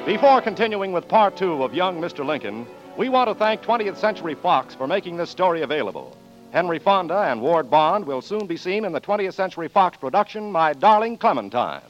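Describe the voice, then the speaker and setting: A man's voice: sits high, very animated, brisk. A man doing polished, formal scripted announcing and sponsor reads in 1940s radio style. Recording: dull 1940s radio broadcast recording. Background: music.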